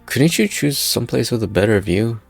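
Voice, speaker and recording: A man's voice: mid-range voice, lively intonation, fairly quick, creaky. A man playing a grumbling, reminiscing male companion in a fan-dubbed game story. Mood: wry. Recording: faint background music.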